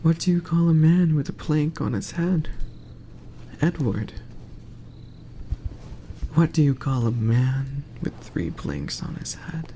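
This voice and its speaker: A man reading aloud in a soft ASMR bedtime style, hushed and often slipping into a whisper. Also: on the high side, takes it slowly, pauses often, a little hiss or hum.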